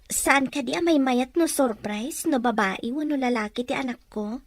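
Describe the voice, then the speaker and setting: Woman acting a high-voiced, modest young expectant mother. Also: normal speed. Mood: shy, respectful. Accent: Filipino. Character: young pregnant woman, modest, respectful, gently stubborn. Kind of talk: audio drama dialogue.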